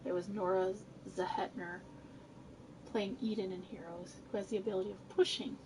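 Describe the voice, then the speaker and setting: Casual teasing woman, clearly noisy recording. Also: medium pitch, steady tone, normal speed. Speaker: companion chatting on a game stream. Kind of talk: casual chat.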